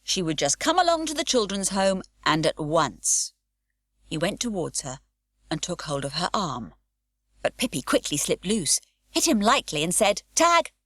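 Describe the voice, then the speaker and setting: A woman narrating a children's storybook audiobook in a warm, lively storytelling way. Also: on the low side, normal speed, no room sound, studio quality.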